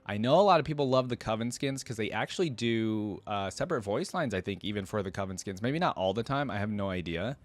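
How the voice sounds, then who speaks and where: A man's voice: fairly low pitch, animated, fast, hardly any pauses. A man giving casual, unscripted reaction commentary as a video host, enthusiastic and rambling with informal, slangy wording.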